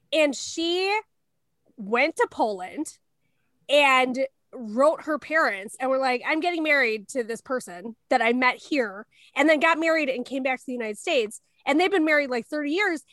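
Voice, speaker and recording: A woman's voice: high voice, lively intonation, normal speed, a bit bright, no room sound. A woman chatting casually on a podcast, joking and peppering her talk with "like.". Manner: chatty, joking, full of "like" fillers.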